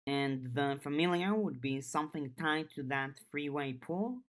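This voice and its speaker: Lady doing a serious teen girl for an audiobook, caring, practical, low and slow. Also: animated. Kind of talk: audiobook character dialogue.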